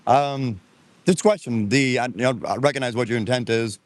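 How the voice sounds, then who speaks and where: A man who travels the world debating casually with a street interviewer outdoors, talkative and quick to argue back. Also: mid-range voice, dry recording. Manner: talkative, argumentative, chuckling. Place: outdoors at a beach.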